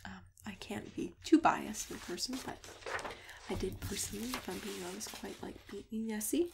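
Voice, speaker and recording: A woman speaking softly and breathily, often near a whisper, in a gentle, reassuring ASMR roleplay as a friendly matchmaker. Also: mid-range voice, creaky. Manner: gentle, reassuring, unhurried customer-service patter.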